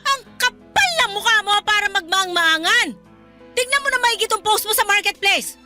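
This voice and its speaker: A woman's voice: very high-pitched, normal speed, faint music behind, full character voice. A woman playing a furious, betrayed single mother scolding her maid in a melodramatic radio drama. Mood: accusing, angry. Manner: accusing, scolding, forceful.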